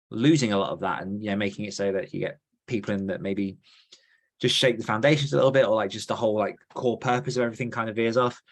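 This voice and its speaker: A man hosting a podcast, explaining things earnestly in casual conversation. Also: fairly low pitch. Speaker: podcast host.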